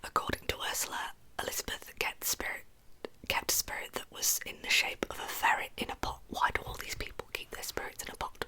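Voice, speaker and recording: A woman narrating in a breathy, unvoiced whisper as an ASMR storyteller, with chatty, informal asides. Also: a little fast, sharp tone. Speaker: host of a true crime ASMR channel.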